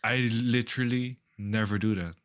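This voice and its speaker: A man's voice: mid-range voice, fairly even intonation, normal speed, smooth. A man chatting casually as a podcast co-host, easygoing and teasing, with laughs between his lines. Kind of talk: casual conversation.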